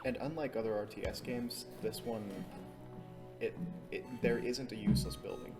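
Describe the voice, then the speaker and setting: A man's voice: mid-range voice, steady tone, moderate pace. A young man chiming in on game commentary, often hesitating and restarting his sentences. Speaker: co-caster. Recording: loud music playing behind.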